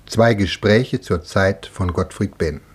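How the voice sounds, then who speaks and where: A man's voice: low voice, takes it slowly, breathy edge. A male radio announcer giving a solemn, formal memorial introduction. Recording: archive radio broadcast.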